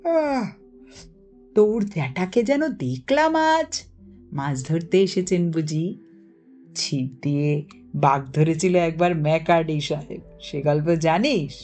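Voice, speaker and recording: A woman plays an older village lad in an audio storybook, slow and offhand. He teases and dodges, contradicting casually with a lively swing in pitch. Soft music runs underneath. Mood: nonchalant.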